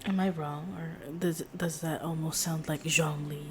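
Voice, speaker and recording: Low-pitched woman casually streaming a game. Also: fairly even intonation, a little slow, background noise. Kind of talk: casual live gameplay commentary. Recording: loud game music behind voice.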